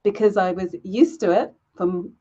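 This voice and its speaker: A woman speaking as a panelist on a video call, measured and deliberate, pausing often. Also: medium pitch, mellow, highs cut off. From England. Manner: measured and deliberate, many pauses with "um".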